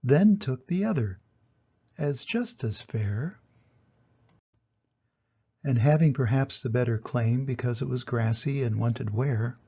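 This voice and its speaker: An older man with a creaky, gravelly voice speaks to young grandchildren in a plainspoken storytime style. His delivery is mellow and soft-edged, with a husky grain. He addresses the children directly as he reads and explains. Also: mid-range voice, expressive, slow pace, pauses often, lo-fi sound.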